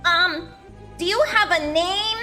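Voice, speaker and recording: A woman's voice: extremely high pitch, slow pace, bright, forceful tone, faint music behind, pitched above the performer's own voice, heavily acted. A woman voicing a naive, guileless young protagonist of a fantasy visual novel, with an airy, hesitant delivery. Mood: startled, apologetic.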